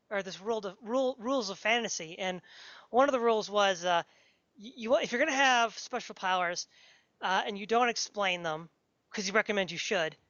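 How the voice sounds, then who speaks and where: A woman's voice: mid-range voice, fairly bright tone, dry recording. A woman hosting a casual interview, asking and commenting in tentative, meandering phrases. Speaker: podcast interviewer. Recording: dry but dull, lo-fi audio.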